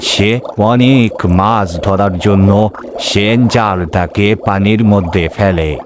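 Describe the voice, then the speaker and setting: A man's voice: on the low side, slow, noisy background. A man telling a folk tale as a scripted storyteller.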